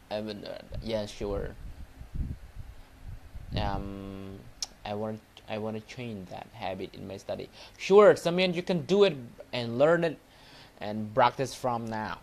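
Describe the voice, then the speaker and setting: Halting man with a Cambodian accent. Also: fairly low pitch, expressive, creaky, background noise. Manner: halting, repetitive, non-native English phrasing. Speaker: Buddhist monk teacher and livestream host. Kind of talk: informal livestreamed motivational talk and lesson.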